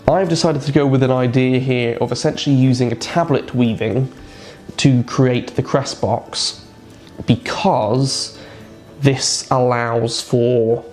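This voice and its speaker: A man's voice: mid-range voice, darkish tone. A man with a nasal voice from a cold, talking casually through a hands-on craft project, rambling a little and correcting himself as he goes. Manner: rambling, with frequent ums and self-corrections. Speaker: reenactor and craft maker. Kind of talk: casual how-to narration.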